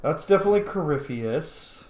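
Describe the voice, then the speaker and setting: A man's voice: fairly high pitch, animated, normal speed, darkish tone. A man giving casual commentary as he plays a game, cheeky, blunt and sweary. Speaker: gamer, let's player. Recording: echoey room.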